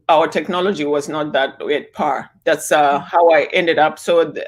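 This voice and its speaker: A woman in her sixties, born and raised in Zimbabwe, answering interview questions over a video call with many fillers and restarts. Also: deep voice. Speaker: interview guest.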